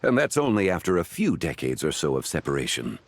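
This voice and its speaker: A man voicing a composed, courteous, learned gentleman in a video game, with formal, measured phrasing. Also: a bass voice, wildly swooping pitch, a little hiss or hum, exaggerated voice. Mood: reflective. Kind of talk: scripted video game dialogue acting.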